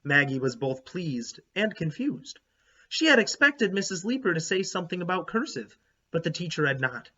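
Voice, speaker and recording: Higher-voiced man reading aloud to children. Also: normal speed, muffled recording. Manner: animated, emphatic storytelling with questions to listeners. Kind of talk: expressive children's read-aloud narration with asides to young listeners.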